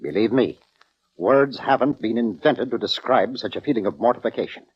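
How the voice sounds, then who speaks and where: An actor playing a pompous, self-important orchestra conductor in 1940s radio drama style, formal, theatrical and easily affronted. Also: male voice, medium pitch, expressive, moderate pace, husky. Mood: troubled, indignant. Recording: dull vintage radio recording.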